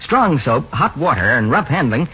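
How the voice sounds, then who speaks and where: A man announcing and pitching a sponsor in scripted 1940s radio style, on a muffled broadcast. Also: very animated, moderate pace, husky.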